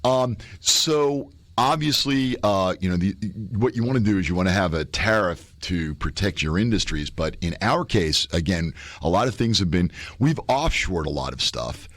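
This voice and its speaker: Deep-voiced man explaining trade, halting. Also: expressive, fairly bright tone, no echo. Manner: explanatory, many restarts and fillers. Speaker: professor guest. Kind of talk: radio talk show discussion.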